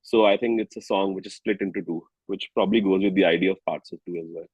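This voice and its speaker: A man with an Indian accent speaking reflectively as an interviewed singer-songwriter, haltingly and often rephrasing himself. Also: deep voice, steady tone, darkish tone, husky, no room sound, highs cut off. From New Delhi, India.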